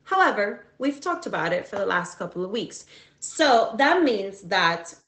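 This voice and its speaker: A woman's voice: medium pitch, big pitch swings, unhurried, fairly bright tone. Upbeat woman hosting a livestream. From Cuba. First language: Spanish. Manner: upbeat, chatty, many fillers and restarts. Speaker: livestream talk show host. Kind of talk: casual livestream talk. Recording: echoey room.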